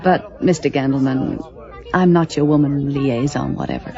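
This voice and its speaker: An actress playing a flirtatious, worldly party hostess with a coy, teasing manner in a comic audio drama. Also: female voice, rumbling low, normal speed, creaky. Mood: amused. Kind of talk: audio drama comedy acting. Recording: muffled audio under loud music. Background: loud music.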